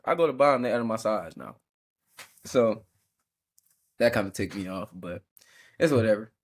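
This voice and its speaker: Young guy hosting his podcast, kinda stuffy nose, lowish voice, chill and rambly. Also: lively intonation, normal speed. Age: early 20s. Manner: laid-back, rambling, with frequent fillers and small laughs. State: congested, sucking a cough drop. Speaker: podcast host.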